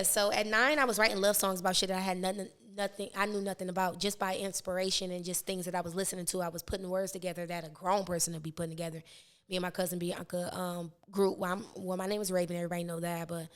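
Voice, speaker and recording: Raspy young woman chatting loosely. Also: fairly low pitch, level tone, quick pace. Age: 20s. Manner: rambling, many fillers and restarts. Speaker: rapper and podcast guest. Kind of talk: casual interview chat.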